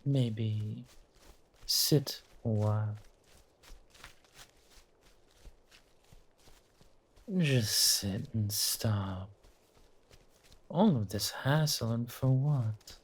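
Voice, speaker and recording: A man voicing a playful, possessive forest monster in a horror audio roleplay, teasing with drawn-out menace in a husky, breathy voice. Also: fairly low pitch, heavily acted. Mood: mocking coaxing. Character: taunting male forest monster hunting its prey, possessive, playful.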